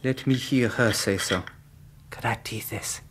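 Slow, defiant male captive. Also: on the low side, fairly bright tone. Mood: weary defiance. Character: weakened, defiant Greek captive man. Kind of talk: radio drama acting. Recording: noisy, scratching and clicks behind.

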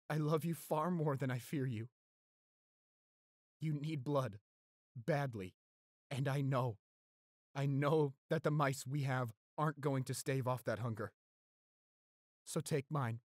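A young man acting an anxious, devoted boyfriend in a roleplay audio, halting and stammering as he pleads. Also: mid-range voice, no room sound, clean studio sound, natural voice. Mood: tender, pleading.

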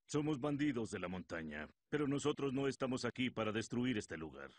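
A man's voice: mid-range voice, sing-song, a little fast, no echo. A man playing an arrogant, menacing mountain bandit chief in anime-style acting, with music behind him. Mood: mocking.

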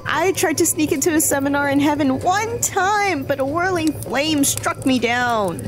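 Demon, female, hot-tempered, curious, blunt, very lively intonation, music behind, podcast drama. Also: sits high, normal speed. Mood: indignant. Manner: animated, blunt, casual. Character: excitable, hot-tempered, curious demon woman, bound in slavery. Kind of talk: audio fiction podcast acting.

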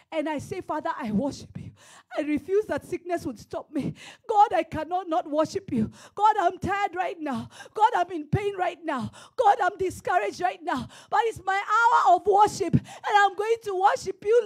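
Kenyan woman pastor, high voice, impassioned sermon, handheld mic, church hall. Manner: impassioned preaching, swinging from loud declarations to hushed storytelling. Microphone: handheld microphone.

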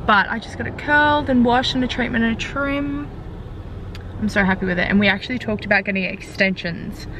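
A woman's voice: fairly high pitch, fairly quick. A woman of twenty with an Australian accent, chatting informally to camera in a casual vlog. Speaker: vlogger. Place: parked car interiors and home kitchen. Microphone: handheld camera at arm's length. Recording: background noise, in car or home.

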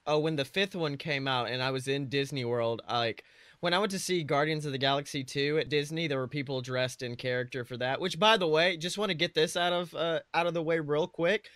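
A man's voice: fairly high pitch, a little fast, fairly bright tone, no echo. A young man co-hosting a podcast, chatting casually and comedically with slangy, profane talk. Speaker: podcast co-host.

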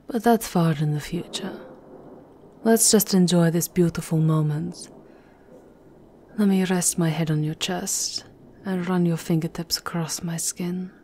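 A woman performs a seductive vampire countess in an ASMR roleplay. She speaks slowly and intimately, with a soft, mellow, low-pitched voice and aristocratic poise, possessive of her lover. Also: slight background noise. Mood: amorous.

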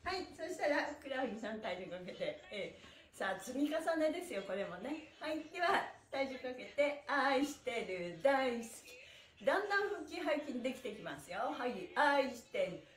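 A middle-aged woman cheerfully and encouragingly leading a stretching class, talking and chanting while she exercises. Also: high voice. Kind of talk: live exercise instruction with chanted counts. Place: small indoor room with bare wall, on a mat. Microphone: camera a few metres away. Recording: roomy echo, distant mic.

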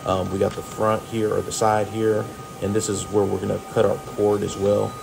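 An American man in middle age narrates a casual welding how-to over music. His voice is low and fairly flat in melody. He talks in a laid-back, folksy way, like a tradesman showing his work. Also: normal speed, gravelly. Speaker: welder hosting a tutorial.

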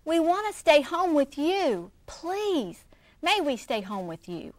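A woman voicing a frightened young boy raccoon cub from a storybook, pleading in a high childlike voice. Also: very animated, slow, no echo, heavily acted.

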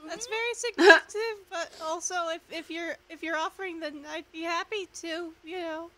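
A woman's voice: extremely high pitch, fairly even intonation, normal speed, pushed and bright. A woman voicing a goofy, earnest young male hedgehog hero in a comedic fan dub, flustered and rambling.

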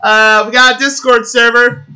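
A man's voice: very high voice, little pitch movement, moderate pace, pushed and bright, low-quality recording. A man giving a casual outro to his amateur fan dub video. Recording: amateur mic, faint music behind.

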